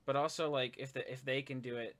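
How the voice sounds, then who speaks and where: A man's voice: mid-range voice, steady tone, fast talker, a bit bright. A man hosting a casual interview for his channel, chatty and supportive, with lots of fillers. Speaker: interviewer, YouTube channel host.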